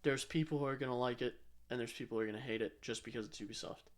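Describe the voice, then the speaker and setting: A man's voice: medium pitch, rapid-fire. A man co-hosting a podcast, chatting casually and informally about games, sometimes repeating himself for emphasis. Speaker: podcast co-host.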